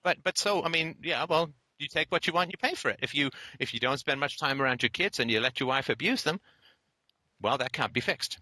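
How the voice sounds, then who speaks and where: Male call-in host, blunt and lecturing, bright forceful voice, dry close mic. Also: mid-range voice, a little fast, no echo, rough recording. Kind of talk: blunt philosophical call-in talk.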